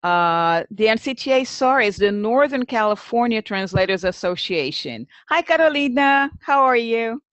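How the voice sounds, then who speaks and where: A woman's voice: on the high side, lively intonation, moderate pace, hardly any pauses, no echo, lo-fi sound. A woman presenting an informal webinar as host, conversational, thinking aloud and addressing attendees by name.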